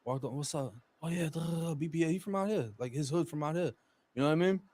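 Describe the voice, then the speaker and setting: A man's voice: a little high, fast, warm tone, a little hiss or hum. A man chatting casually as a podcast guest, blunt and profane, with heavy street slang.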